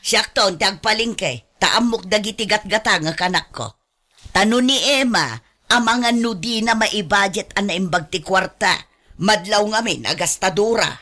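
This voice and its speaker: A woman's voice: medium pitch, moderate pace, pushed and bright. A woman with an Ilocano Filipino accent playing a possessive, money-grasping older mother in a radio drama, insistent and indignant.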